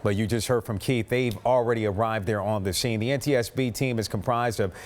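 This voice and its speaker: A man's voice: fairly low pitch, fairly even intonation, brisk. A middle-aged man anchoring TV news in a studio with scripted delivery.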